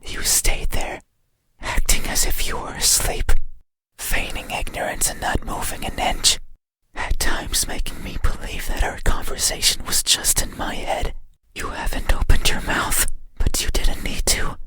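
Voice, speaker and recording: Man acting as lonely monster, horror visual novel, ASMR whisper, very slow, halting, brooding, melancholy. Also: lightly acted. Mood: bitter, accusing. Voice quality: breathy unvoiced whisper. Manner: halting, formal, brooding, slow deliberate phrasing. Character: lonely, melancholy male monster stalking a sleeper at night. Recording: whisper under loud music.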